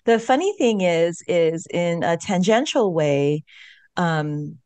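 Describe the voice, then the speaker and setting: A woman's voice: fairly low pitch, expressive, takes it slowly, darkish tone, smooth, no echo. A woman co-hosting a podcast over a video call, speaking in a casual, encouraging and reflective way. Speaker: podcast co-host, orthopedic surgeon. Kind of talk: casual supportive chat. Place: home studio. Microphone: studio mic on a video call.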